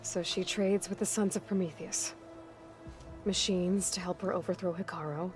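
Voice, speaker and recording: Game heroine, for a female actor: young warrior woman, lowish voice, blunt, skeptical, natural delivery, light music behind. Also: fairly even intonation, moderate pace, natural voice. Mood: skeptical, probing. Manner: blunt, questioning. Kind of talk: naturalistic video game cutscene acting.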